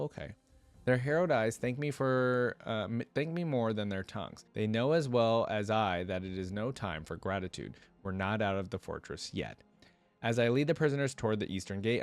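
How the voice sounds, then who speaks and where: A man hosting a reaction video, reading a story aloud and adding casual, slangy asides. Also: fairly low pitch.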